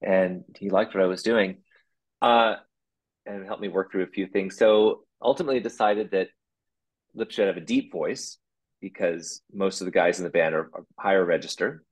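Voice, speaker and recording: A man, a puppeteer, talking casually as a guest, rambling with restarts and quick laughs. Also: low voice, fairly even intonation, rough recording. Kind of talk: casual interview chat.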